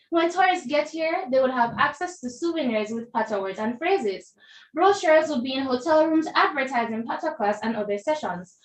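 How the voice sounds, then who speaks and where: High-voiced Jamaican student debater. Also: female voice. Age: young. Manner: emphatic, rhetorical, addresses judges. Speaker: debater, deputy prime minister speaker. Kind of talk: formal school debate speech. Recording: echoey room.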